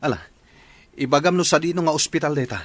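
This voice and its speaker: A man's voice: on the high side, fast talker, heavy background noise, natural voice. A man playing a mild, concerned younger brother with a working life in an audio drama.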